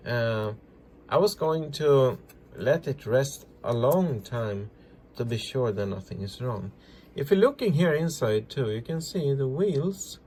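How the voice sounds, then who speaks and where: Guy doing a casual how-to, talks slow in halting non-native english, some noise and clicking behind him. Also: mid-range voice, darkish tone. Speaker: repair tutorial presenter. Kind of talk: casual hands-on demonstration, narrating as they work.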